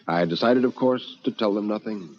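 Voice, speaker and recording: An actor playing an elderly, anxious but philosophical man, narrating in a deliberate, literary manner for radio drama. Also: lowish voice, a little hiss or hum, lightly acted. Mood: reflective, resigned. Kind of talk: old-time radio dramatic reading. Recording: muffled vintage radio recording with music.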